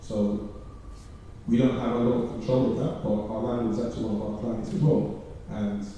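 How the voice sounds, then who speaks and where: Man, panel speaker, quick rambling delivery, dark low voice, echoey hall, distant mic. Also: little pitch movement. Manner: rambling, many fillers and restarts. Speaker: panelist, engineering firm. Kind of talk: panel discussion. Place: large echoey event room. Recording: echoey hall, voice from afar, faint hiss.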